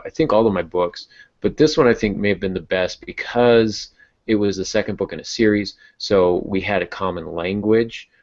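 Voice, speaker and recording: A man hosting a casual podcast, chatty and explanatory, with a self-deprecating sense of humor. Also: low-pitched. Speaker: podcast host and novelist. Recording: dull lo-fi audio.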